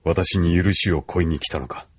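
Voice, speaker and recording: Deep-voiced, grave older colonel. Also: male voice, husky. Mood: cold, challenging. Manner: measured, authoritative, stern. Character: grave, commanding older male military colonel. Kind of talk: anime audio drama acting.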